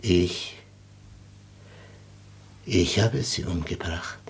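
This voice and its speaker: An actor playing a cynical, mocking former prison doctor in a German radio drama, speaking theatrically and provocatively. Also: male voice, sits low, extremely slow, breathy edge, heavily acted. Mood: mock-sinister, teasing. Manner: theatrical, provocative, breaking into chuckles.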